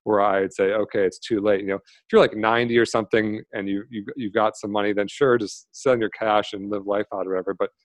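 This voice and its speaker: Fast-talking American man, low voice. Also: fairly even intonation, no room sound. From Michigan, USA. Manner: quick, loose, with many fillers and restarts. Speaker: podcast guest. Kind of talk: casual interview talk.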